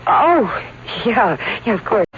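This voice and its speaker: A woman's voice: on the high side, big pitch swings, takes it slowly, background noise. An actress playing an anxious young wife of a fading showman, talking in a nervous, stammering way in a radio drama. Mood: flustered. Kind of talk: 1970s radio drama acting. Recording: dull old radio broadcast.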